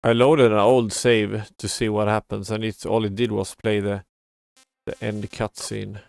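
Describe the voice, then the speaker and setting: A man's voice: fairly low pitch, level tone, a little slow, gravelly, darkish tone, dry recording. A man giving casual live game commentary as a streamer, muttering in a mildly exasperated way. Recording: game music behind the voice. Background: video game music.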